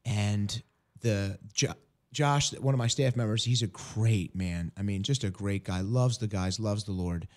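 Hoarse-voiced male radio host. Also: fairly low pitch, darkish tone. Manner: earnest, halting with many restarts. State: hoarse from illness. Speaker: ministry program director.

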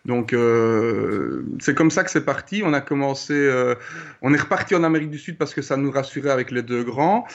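A Belgian man in his thirties with a Liège accent, speaking hesitantly as an interview guest, full of "euh" and pauses. His mid-pitched voice comes over a slightly dull, muffled call line. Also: vocal fry. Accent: Belgian French, Liège. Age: 30s. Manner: hesitant, many pauses and fillers. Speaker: guest, traveler. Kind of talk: remote interview.

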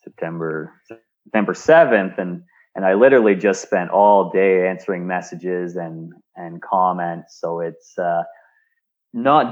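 Canadian man, interview guest, lowish voice, keeps repeating words, muffled remote call sound. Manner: frequent word repeats and restarts. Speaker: guest, speaker and engineer. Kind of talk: remote interview, reflective storytelling.

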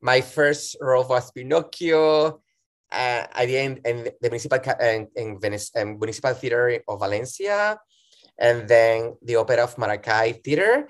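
A middle-aged man from Venezuela with a Venezuelan accent, speaking English as a second language as an interview guest, animated and given to restarts. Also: mid-range voice, normal speed. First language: Spanish. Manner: animated, restarts often, acts out his own past words. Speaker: guest performer. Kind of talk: casual interview chat.

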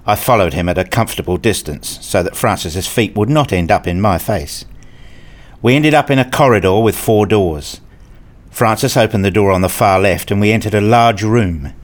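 Man playing an earnest hobbyist dad, lowish voice, first-person storyteller, scripted radio drama narration and dialogue. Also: normal speed, lightly acted. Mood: descriptive. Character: eager married father and hobbyist, a little rueful. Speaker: narrator and main character.

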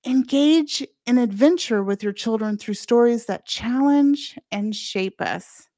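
A woman's voice: on the high side, measured pace, mellow, no echo, studio quality. A woman presenting a scripted solo podcast as its host, speaking directly and encouragingly to parents.

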